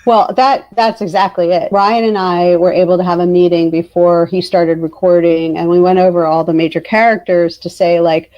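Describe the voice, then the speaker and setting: A woman's voice: fairly low pitch, fairly even intonation, moderate pace, hardly any pauses, highs cut off. Enthusiastic woman chatting in an interview. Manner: enthusiastic, emphatic, repeats words for stress. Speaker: author guest. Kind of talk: casual interview chat.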